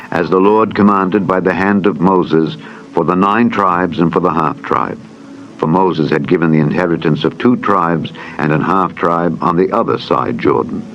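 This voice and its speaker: A man reading scripture as a narrator in a solemn, measured, formal manner, as in a dramatized audio Bible. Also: low-pitched. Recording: dull lo-fi audio with music behind. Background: background music.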